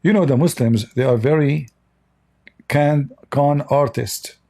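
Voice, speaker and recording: A man's voice: a little high, slow, mellow. A man whose first language is Arabic gives a blunt, combative commentary in English, often repeating words and restarting his sentences. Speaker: online commentator. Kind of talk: unscripted commentary monologue.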